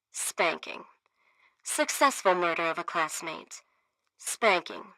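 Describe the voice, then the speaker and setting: Sharp, stern schoolmistress. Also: female voice, medium pitch, no echo, poor audio. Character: stern, haughty disciplinarian schoolmistress. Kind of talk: satirical game radio acting.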